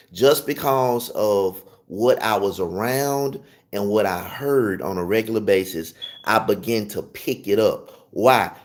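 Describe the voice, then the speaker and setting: A man with a Southern US accent giving an informal music lesson, emphatically repeating and rephrasing his points. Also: on the low side. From Memphis, Tennessee, southern US. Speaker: music teacher. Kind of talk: informal instructional talk to viewers.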